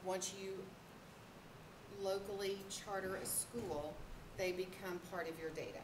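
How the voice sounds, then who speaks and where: A woman's voice: medium pitch, steady tone, measured pace. A woman on the district staff presenting to a school board, hesitant and stopping often for ums. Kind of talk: presenting at a public board meeting. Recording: echoey, noisy meeting room.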